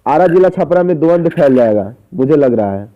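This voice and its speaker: A man's voice: fairly high pitch, lively intonation, fairly quick, a little hiss or hum. A man, questioning someone insistently and repeating his phrases in a recorded phone interview. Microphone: phone call. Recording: muffled, dull phone call recording.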